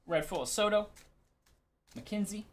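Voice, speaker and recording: A man's voice: high-pitched, animated, unhurried. A man hosting a live sports card break, giving casual, laid-back commentary in short clipped call-outs.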